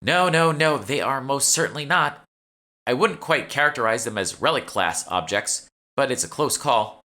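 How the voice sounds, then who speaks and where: Man voicing a high, eager young shopkeeper woman. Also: animated, normal speed, pushed and bright. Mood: emphatic. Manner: polite, salesy, effusive. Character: chatty, eager young female magic shopkeeper. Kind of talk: audiobook character dialogue.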